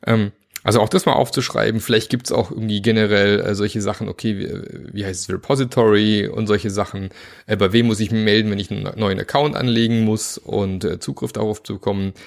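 A man's voice: fairly low pitch, steady tone, a little fast, vocal fry. A man with a cold giving a casual, chatty lesson on team practices, full of fillers and asides. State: has a cold, sore throat. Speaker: agile coach teaching teams. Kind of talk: informal spoken lesson.

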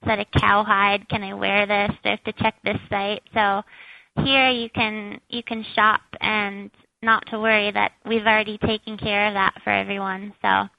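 A woman with a squeaky high voice, a shop owner chatting as an interview guest, with lots of fillers. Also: little pitch movement, normal speed, gravelly, no echo, dull audio. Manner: chatty, many fillers, laughs at herself. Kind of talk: casual interview answers.

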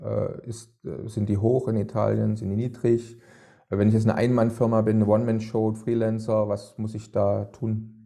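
A man's voice: fairly low pitch, little pitch movement, normal speed, vocal fry, dark tone. A man hosting a podcast, putting long, winding questions to his guest with many fillers. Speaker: podcast host.

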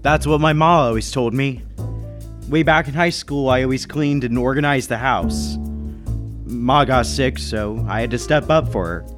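A man's voice: mid-range voice, normal speed, natural voice. Actor playing a reserved 1930s businessman narrator, music behind. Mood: sober reminiscence. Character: reserved American businessman and war veteran, narrating a mystery. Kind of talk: 1930s radio drama acting with first-person narration. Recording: music bed under the voice.

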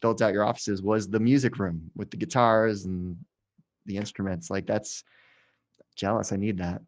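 A man's voice: lowish voice, fairly even intonation, normal speed. A middle-aged man hosting a podcast interview, chatting in a conversational, loosely phrased way. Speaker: podcast host. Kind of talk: casual interview chat.

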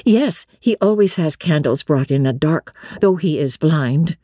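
A woman's voice: deep voice, lively intonation, a little slow, dark tone, no echo, studio quality, pitched below the performer's own voice. A woman voicing a plain-spoken female servant in a classic novel, matter-of-fact in manner. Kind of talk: dramatic audiobook reading of classic literature.

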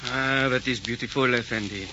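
A man's voice: medium pitch, steady tone, normal speed, over music, lightly acted. An actor in a 1940s radio drama playing a fawning French manservant, gushing and deferential to his master. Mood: admiring. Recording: muffled, hissy 1944 radio recording.

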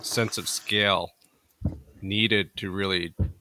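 A man hosting an interview, setting up a question for his guest in a measured way. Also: low voice, fairly even intonation, pushed and bright, a little hiss or hum. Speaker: podcast host.

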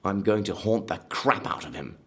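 An actor playing an eccentric theme park designer in an audio drama, intense and morbidly enthusiastic, speaking in terse bursts. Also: male voice, lowish voice, expressive, normal speed, fairly bright tone, husky, full character voice. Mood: dreamy, eager.

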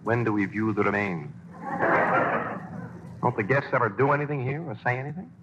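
A man's voice: mid-range voice, brisk, fairly bright tone, close to an everyday voice. An actor playing a brash, jaunty showman father with flippant wisecracks, in 1940s radio style. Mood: sardonic. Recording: muffled, noisy old radio broadcast.

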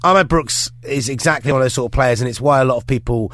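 A man's voice: medium pitch, quick pace, fairly bright tone, no echo, clean studio sound. A man hosting a casual sports podcast, bunged up with a head cold and rambling through his points. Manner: rambling, with restarts and fillers. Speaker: podcast host.

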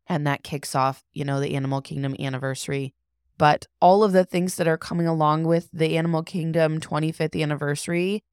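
Deep-voiced woman co-hosting a podcast, congested. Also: smooth, dry recording, studio quality. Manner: enthusiastic and gushing. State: congested from allergies. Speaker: podcast co-host. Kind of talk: casual chat.

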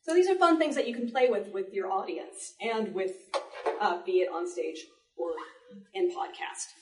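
A woman giving a live demonstration talk to an audience, explaining in an animated way with playful asides. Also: medium pitch, normal speed, noisy background. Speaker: panel presenter, sound effects artist. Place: indoor library panel before an audience. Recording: echoey room, distant microphone.

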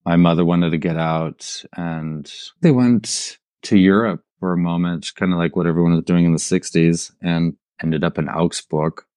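A man's voice: sits low, steady tone, moderate pace, hardly any pauses, dark tone, clean tone, no room sound, studio quality. A middle-aged man who grew up in southern Germany, talking informally as an artist in an interview and telling stories with bits of quoted dialogue. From raised in southern Germany. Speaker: installation artist, podcast guest. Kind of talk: casual conversational storytelling.

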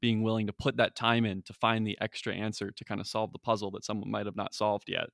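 A young analyst answers interview questions with conversational enthusiasm. His voice is low-pitched, and the sound is dry and close. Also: level tone, fast talker, vocal fry, fairly bright tone, no room sound. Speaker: research analyst guest.

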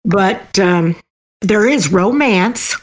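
Older woman, halting and thoughtful guest. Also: medium pitch, expressive. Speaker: interview guest, author. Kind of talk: casual interview talk.

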